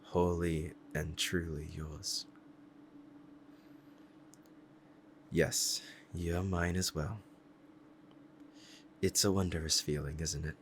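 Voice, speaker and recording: A man's voice: deep voice, flat delivery, takes it slowly, a little hiss or hum. A man playing a powerful demon devoted to a beloved master, speaking formally and earnestly in a romantic ASMR roleplay. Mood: adoring, hungry. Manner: formal archaic diction, earnest and reverent.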